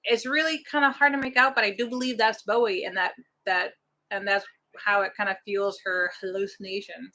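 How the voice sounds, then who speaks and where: A woman's voice: medium pitch, steady tone, brisk, pushed and bright. An American woman from Missouri co-hosting a live stream, chatting casually and answering viewers' comments.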